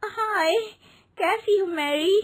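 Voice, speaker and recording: A woman's voice: extremely high pitch, extremely slow, voice pushed up, full character voice. A woman voicing a vain young celebrity daughter-in-law in a family story, theatrical, sugary and entitled. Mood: gushing, chatty.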